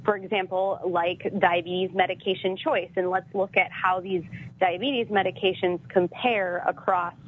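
A woman's voice: lowish voice, fairly even intonation, moderate pace, a little hiss or hum. Woman on a tinny phone line. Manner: hesitant, filler-laden explaining. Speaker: panelist on health care.

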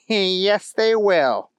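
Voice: mid-range voice, big pitch swings, extremely slow, clear, resonant tone, no room sound, voice pushed up, exaggerated voice. A storyteller voicing a naughty, boastful male bunny villain who taunts and whines in a children's story. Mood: gloating. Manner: taunting, whining, exclaiming.

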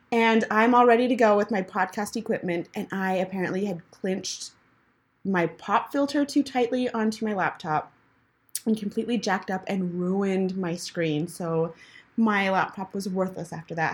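A woman's voice: mid-range voice, moderate pace. A woman hosting a podcast in a bubbly, casual chat, laughing often. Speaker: podcast host.

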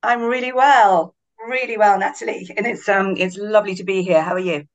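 A middle-aged woman, a guest author in a podcast interview, telling her story in a lively, chatty way with frequent restarts. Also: medium pitch, brisk, muffled recording. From Wales.

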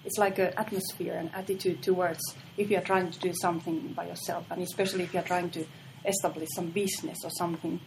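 A woman's voice: on the low side, level tone, quick pace, vocal fry, few pauses, background noise. A woman, a university business lecturer, chatting agreeably and often affirming others. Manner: agreeable, frequent affirming interjections. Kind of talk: casual discussion.

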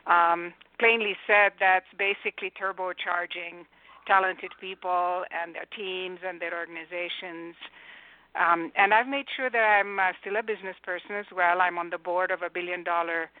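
A woman's voice: on the low side, steady tone, moderate pace. Romanian-accented woman over a phone line. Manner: articulate, many fillers and restarts. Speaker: guest, executive coach. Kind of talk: radio interview answers. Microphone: telephone.

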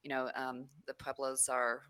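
A woman's voice: rumbling low, monotone, normal speed, fairly bright tone. A woman from New Mexico, a credit union CEO, answering interview questions in a rambling way with many fillers.

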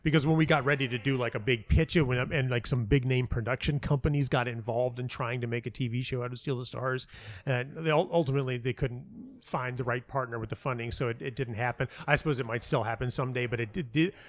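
A man speaking as an interview guest, telling personal anecdotes casually in a halting way, interrupting himself and repeating words for emphasis. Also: mid-range voice, steady tone. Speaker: guest, playwright and audio dramatist.

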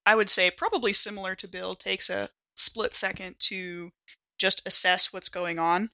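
A woman's voice: medium pitch, steady tone, moderate pace, vocal fry, bright, forceful tone, dry recording, poor audio. A woman playing a tabletop role-playing game, chatting informally and thinking aloud as she improvises.

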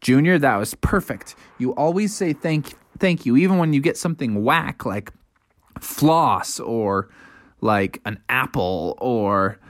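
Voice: medium pitch, expressive, slow, dry recording, voice pushed down. A storyteller voicing a laid-back older brother bear with casual, slangy talk. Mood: easygoing.

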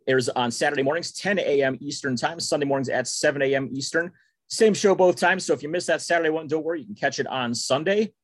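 A man from Texas, co-hosting a sports podcast in a relaxed, easygoing way. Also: a little high, fast, no room sound, studio quality. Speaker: podcast co-host. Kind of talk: casual sports podcast chat.

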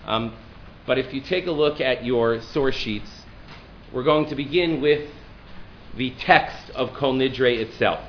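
Deep-voiced male rabbi lecturing, thoughtful and self-deprecating; muffled recording with faint hiss. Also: a little slow. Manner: thoughtful, self-deprecating, with frequent restarts and fillers. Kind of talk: classroom lecture.

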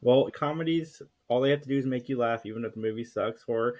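A man's voice: mid-range voice, fast talker, a little mellow. A man speaking as a podcast guest in casual, opinionated chat.

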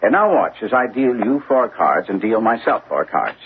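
An actor playing a shrewd, commanding detective with precise, assured, instructive delivery in an old-time radio drama. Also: male voice, lowish voice, sing-song, moderate pace. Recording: tinny, noisy old radio broadcast.

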